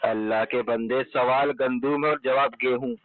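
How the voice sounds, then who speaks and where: A man's voice: mid-range voice, fairly even intonation, unhurried, no echo. A man in an argumentative religious debate over the phone, insistently pressing and repeating pointed questions. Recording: muffled, band-limited telephone call recording.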